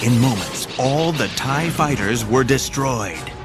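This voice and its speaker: A man's voice: medium pitch, lively intonation, takes it slowly. A man narrating an adventure audio drama in a scripted, dramatic storytelling style. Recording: music behind the narration.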